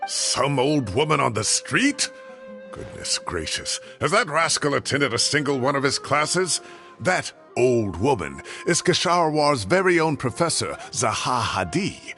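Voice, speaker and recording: A gravelly, huffy old professor. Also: male voice, medium pitch, sing-song, unhurried, bright, forceful tone, heavily acted. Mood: indignant. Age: older. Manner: grumbling, theatrical and huffy. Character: fussy retired male professor, older, indignant. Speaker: retired professor. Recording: game audio with faint music.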